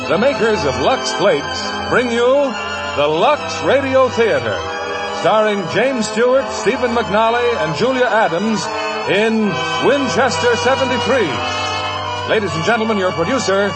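A man's voice: sits high, expressive, measured pace, rough recording. A man announcing a 1950s radio show in a grand, declamatory manner. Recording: vintage radio recording with loud music.

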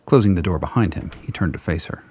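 A man's voice: deep voice, fast talker, a little mellow, slightly rough. A man reading scripted narration for a radio drama as its narrator.

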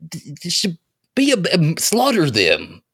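A man's voice: on the high side, huge pitch swings, unhurried, a bit bright, slightly rough. A man co-hosting a podcast in casual chat, giving his opinions with emphasis. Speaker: podcast co-host.

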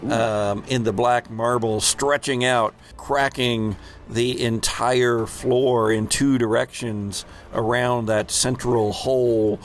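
A man hosting a tabletop game as game master, improvising descriptive, dramatic narration in a halting way. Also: medium pitch, fairly even intonation.

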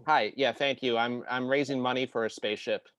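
A man's voice: medium pitch, steady tone, fairly quick, a bit bright, no room sound, close to an everyday voice. A man playing an eager, polite young door-to-door fundraiser in improvised comedy, with a hesitant sales patter.

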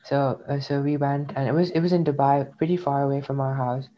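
A man's voice: medium pitch, steady tone, a little fast. A young man from India, raised in the UAE, speaks as an interview guest. He tells his story in a thoughtful, reflective way. The recording sounds slightly dull and lo-fi. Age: young adult. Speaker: interview guest, graduate student. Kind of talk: personal testimony in conversation.

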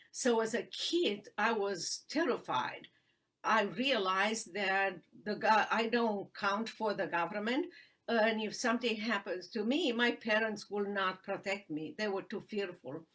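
A woman from Romania with a Romanian accent, earnestly telling her life story as an interview guest, pausing with fillers. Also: medium pitch, fairly bright tone, rough recording. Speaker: guest, human rights attorney.

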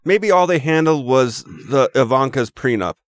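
A man's voice: mid-range voice, expressive, normal speed. A man co-hosting a podcast in a casual political chat, argumentative and sarcastic as he lays out his reasoning. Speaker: podcast co-host.

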